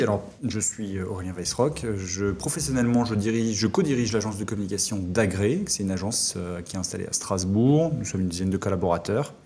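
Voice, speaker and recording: A middle-aged man from Alsace, a former army officer, talking as an interview guest in a halting way with many fillers. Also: low-pitched, darkish tone, slightly rough. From Alsace, France. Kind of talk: casual interview talk.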